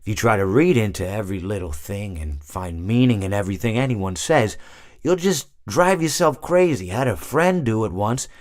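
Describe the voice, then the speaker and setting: An actor playing an oblivious, long-winded animatronic hippo in a video game, philosophizing with hesitant, repeated words. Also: male voice, on the low side, expressive, normal speed, a little mellow, no room sound, clean studio sound, natural voice. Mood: rambling, earnest. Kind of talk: video game character monologue.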